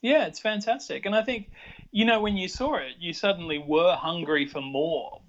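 A man's voice: sits high, normal speed. An older man with an Australian accent, chatting casually on a podcast, rambling with many restarts. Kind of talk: casual chat. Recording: dull lo-fi sound.

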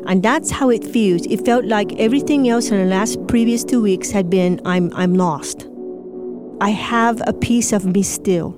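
A woman with a foreign-sounding accent shares a personal story as an interviewee. Her delivery is emotional and halting, at an average pitch. Music plays clearly behind her. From Indiana, USA.

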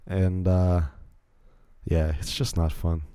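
Low, mellow-voiced young man rambling. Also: level tone, slow, smooth, no room sound. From Virginia, USA. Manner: rambling, self-deprecating, frequent restarts and fillers. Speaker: podcast host. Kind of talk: casual solo podcast monologue.

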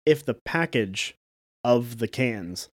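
A man's voice: medium pitch, expressive, takes it slowly, no echo. Guy on a podcast, hedgy explainer, lots of likes, dry close mic. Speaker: podcast co-host. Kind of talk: casual chat.

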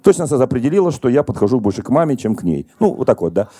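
A middle-aged man preaching a sermon as pastor, telling stories and questioning the congregation in a lively conversational way. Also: mid-range voice, rapid-fire, husky, no echo. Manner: conversational, animated storytelling to congregation.

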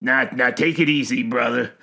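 Audiobook role: tough male hobo read by a male narrator, folksy and in charge, with some gravel. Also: mid-range voice, steady tone, normal speed, bright, forceful tone. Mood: calming. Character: gruff male hobo, menacing yet calming.

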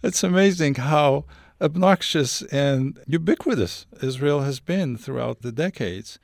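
A man's voice: a little high, animated, measured pace, creaky, no room sound, studio quality. Man from Algeria asking long questions. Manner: long, detailed questions, formal phrasing. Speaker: interviewer. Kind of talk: radio interview questions.

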